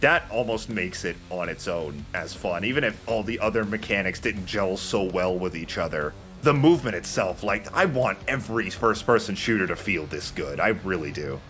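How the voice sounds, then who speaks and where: A man with a lowish voice talks casually about a video game, giving his opinions and swearing freely. Faint game music plays behind him. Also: expressive, normal speed, few pauses, fairly bright tone. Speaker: let's play commentator. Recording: background music under the voice.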